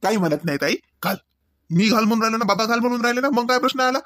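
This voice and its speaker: A man's voice: very high-pitched, racing, fairly bright tone, dry recording, studio quality. A young village son in a comedy cartoon voiced by a man, speaking with a Varhadi Marathi accent. He sounds earnest and affectionate, always coaxing and persuading. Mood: insistent. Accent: Varhadi Marathi from Vidarbha.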